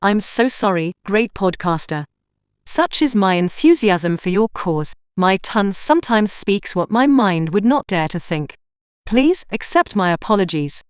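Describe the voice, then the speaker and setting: A woman's voice: mid-range voice, lively intonation, a little slow, clear, resonant tone, dry recording, studio quality, close to an everyday voice. A woman playing a florid, obsequious, scheming minion in a sci-fi audio drama parody. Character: fawning, scheming noblewoman minion, grandly theatrical and devious.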